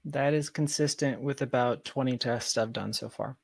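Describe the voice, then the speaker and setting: Guy on a podcast telling stories, animated and casual, mid pitch, really dry sound. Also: normal speed, vocal fry, dry recording. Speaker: podcast guest, founder. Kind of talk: casual, anecdotal conversation.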